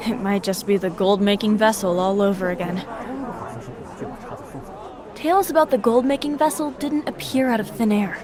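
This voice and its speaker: An actress playing a young wandering heroine in a video game, wry and direct in manner. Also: female voice, medium pitch, moderate pace, pauses often, close to an everyday voice. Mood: wry, fed up. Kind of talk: video game cutscene acting. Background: music and crowd chatter.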